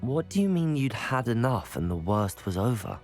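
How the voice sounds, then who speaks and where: A man's voice: mid-range voice, very animated, normal speed, soft background music. A man playing a supportive, composed teenage wizard in a video game, polite and encouraging. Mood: curious, concerned. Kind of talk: scripted video game dialogue acting.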